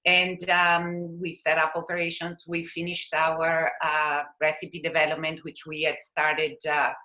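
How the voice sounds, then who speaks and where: A middle-aged woman whose voice sits very low but sounds bright and sharp. She is a podcast guest who speaks carefully, pausing on fillers as she finds her words. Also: monotone. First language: Italian. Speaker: podcast guest, company founder. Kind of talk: casual interview chat.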